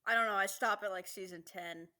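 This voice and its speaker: A woman's voice: medium pitch, brisk, sharp tone. A woman co-hosting a podcast, chatting casually and informally with some swearing. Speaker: podcast co-host. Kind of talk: casual chat.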